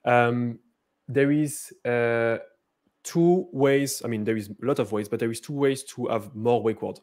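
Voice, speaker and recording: Casting note: French-accented man, mid-pitched, halting live tech demo with lots of "uh" and "um". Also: darkish tone. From France. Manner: hesitant, many fillers and restarts. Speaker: presenter.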